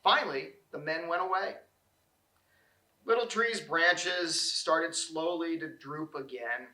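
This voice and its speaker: Bright-voiced man reading a children's story. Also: on the high side, reverberant. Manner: expressive, deliberate phrasing. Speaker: storybook reader. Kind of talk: children's read-aloud narration.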